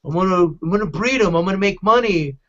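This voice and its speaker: A middle-aged man hosting a podcast, telling personal stories casually in a halting, candid, rambling way. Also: sits high, a bit bright. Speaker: podcast host, dog advocate. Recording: dull lo-fi podcast audio.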